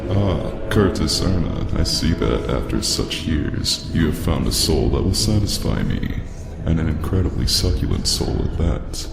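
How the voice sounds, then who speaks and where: An imperious demon lord speaks low and gravelly, savoring mortal souls with grand menace. The voice rings through a long reverb in this fantasy drama. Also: male voice, lively intonation, a little slow, heavily acted. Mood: gloating. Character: imperious, soul-hungry male demon deity lord. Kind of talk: fantasy audio drama acting. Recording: cavernous echo, long reverb. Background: loud music.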